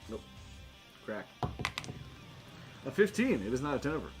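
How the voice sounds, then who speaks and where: A man's voice: medium pitch, sing-song, normal speed. A man acting as game master, casually narrating tabletop fight scenes in informal, sweary language. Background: guitar music.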